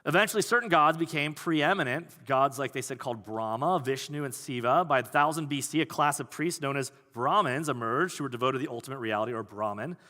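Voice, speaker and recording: A man preaching a sermon as pastor, talking in a conversational, animated way. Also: mid-range voice, fairly quick, hardly any pauses. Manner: conversational, animated, acts out quoted voices. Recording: long hall reverb.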